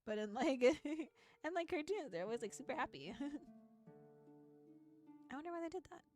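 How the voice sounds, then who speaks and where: A woman's voice: high voice, expressive, normal speed, a little mellow, faint music behind. A playful, giggly woman VTuber reading a visual novel aloud and adding casual commentary. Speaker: VTuber streamer.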